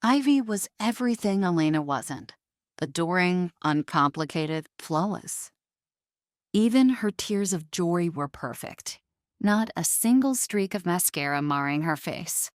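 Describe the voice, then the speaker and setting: A woman's voice: fairly low pitch, animated, a little slow, dry recording, clean studio sound. A woman narrating a scripted audio story in a dramatic storytelling style.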